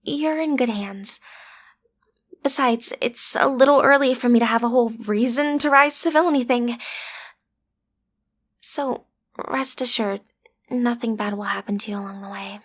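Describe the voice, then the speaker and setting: A woman's voice: fairly high pitch, normal speed, pauses often, close to an everyday voice. A woman playing a cute, easily flustered teenage villain's daughter in an audio roleplay, stammering earnestly as she tries to seem intimidating. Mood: awkward, earnest.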